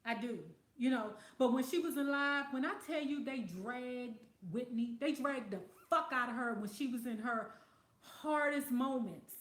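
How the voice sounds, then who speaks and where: A woman's voice: fairly high pitch, unhurried, fairly bright tone, echoey room. A woman hosting a casual livestream, talking bluntly with plenty of profanity and losing her voice. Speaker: livestream host reading viewer comments.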